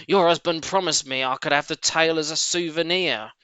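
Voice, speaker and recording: A man's voice: on the high side, moderate pace, bright, forceful tone, smooth, no room sound, pitched above the performer's own voice. A man voicing a huge, servile countrywoman servant in a storybook, grumbling and calling out colloquially. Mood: grumbling, loud.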